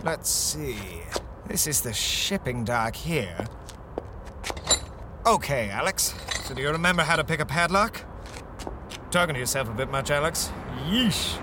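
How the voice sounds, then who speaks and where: Brash, snippy young reporter. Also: male voice, on the high side, expressive, a little slow, pauses often, background noise. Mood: nervous muttering. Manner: snippy, wry. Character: brash, sarcastic blogger-reporter. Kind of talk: radio play acting.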